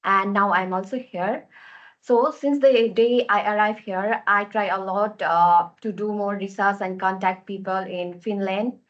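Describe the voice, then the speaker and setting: A woman's voice: mid-range voice, steady tone, measured pace, lo-fi sound. A young woman from Nepal with a Nepali accent, asking an earnest, detailed question from the audience. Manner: earnest, fluent, long questions.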